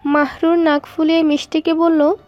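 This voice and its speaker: A woman narrates an audio story. Her voice is very high and soft-edged, and the recording is a little dull. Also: flat delivery, moderate pace, soft background music.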